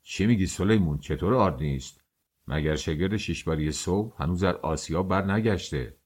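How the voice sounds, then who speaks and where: Bossy master baker giving orders, teasing his worker, real deep low voice, a man reading it. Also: darkish tone, slightly rough. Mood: irritated. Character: middle-aged male master baker, bossy, joking.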